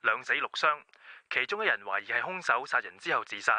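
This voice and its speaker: An actor playing a formal news announcer reading a bulletin in a radio drama. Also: male voice, mid-range voice, expressive, fast, sharp tone, dry recording. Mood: formal, urgent.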